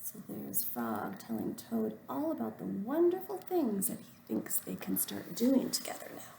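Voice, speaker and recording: Female first-grade teacher, storybook read-aloud: unhurried, simple explanations, animated intonation, roomy recording. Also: a little high, gravelly, slight background noise. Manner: explains simply to children, with deliberate phrasing. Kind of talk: reading a storybook aloud to young children.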